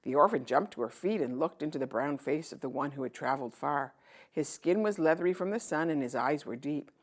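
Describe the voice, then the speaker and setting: A woman narrating a children's storybook in an expressive storytelling style. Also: low voice, fairly quick.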